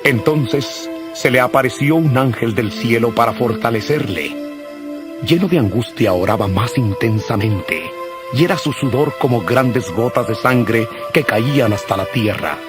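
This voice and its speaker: A man narrating scripture from a script in a solemn, measured manner. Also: mid-range voice, animated. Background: music.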